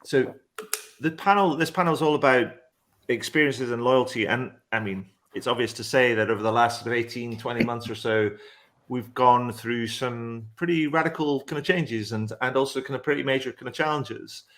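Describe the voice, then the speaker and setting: Guy moderating a panel, casual and rambly, complimentary, normal mid pitch voice. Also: moderate pace, a bit bright.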